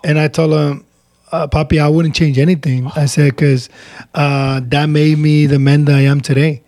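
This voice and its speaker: A man's voice: fairly high pitch, little pitch movement, moderate pace, dark tone, a little hiss or hum. Earnest middle-aged man from Honduras. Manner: earnest, laughs often, repeats himself. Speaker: guest speaker. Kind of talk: casual interview storytelling.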